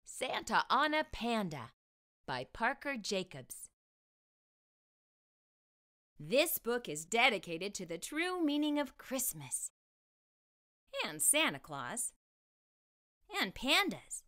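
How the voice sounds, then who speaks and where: A middle-aged woman hosting a children's storytime, speaking in an animated, expressive way to young readers. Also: on the high side, slow, long pauses. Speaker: host of children's read-aloud show. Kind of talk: children's storytime read-aloud and presenting. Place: small furnished room indoors. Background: music.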